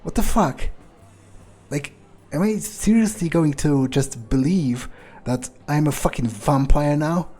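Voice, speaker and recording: Casting: man playing confused, sweary fledgling vampire for game roleplay, slow and hesitant, husky voice, irritable disbelief. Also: a little high, dark tone, faint music behind. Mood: uneasy, bewildered. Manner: hesitant, muttering, incredulous, halting repetitions. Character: bewildered, foul-mouthed, irritable newly turned male vampire. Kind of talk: improvised in-character game roleplay.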